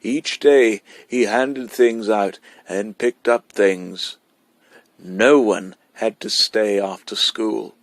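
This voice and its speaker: A man narrates a short story at a very slow pace. His voice has a creaky, fried edge and sits in a dry space with no room sound. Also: lowish voice, steady tone, no echo. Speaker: amateur story reader. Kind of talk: scripted narration, reading a short story aloud.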